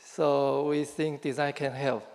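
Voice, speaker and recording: Gravelly man answering briefly on stage. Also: mid-range voice, little pitch movement, measured pace, a little mellow. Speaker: interviewee on stage. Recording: distant voice in a reverberant hall.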